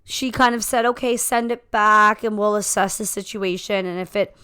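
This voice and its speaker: A slightly hoarse woman co-hosting a podcast, chatting casually and telling stories with many asides into a handheld mic. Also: mid-range voice, fairly even intonation, normal speed, bright, forceful tone, dry recording, studio quality. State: slightly hoarse, recovering from laryngitis. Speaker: podcast co-host. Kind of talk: casual chat.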